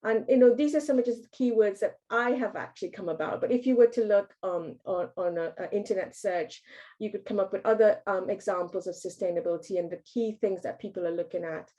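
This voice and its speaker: Woman, warm mellow tone, workshop presenter, halting, lots of ums and restarts. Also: medium pitch. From Trinidad and Tobago. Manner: hesitant, frequent ums and restarts. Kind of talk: online business workshop talk.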